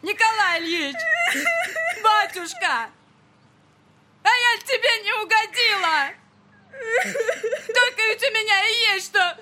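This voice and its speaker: An actress playing a frail, half-mad mother in an audio drama, with a childish, whiny, drawn-out manner. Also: very high voice, animated, pushed and bright, heavily acted. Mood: tearful, hysterical.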